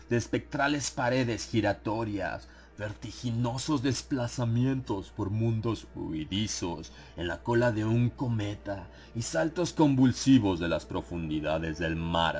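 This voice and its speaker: A man narrating a dramatized horror audiobook in a grave, theatrical manner with dramatic pauses. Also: lowish voice, darkish tone. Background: background music.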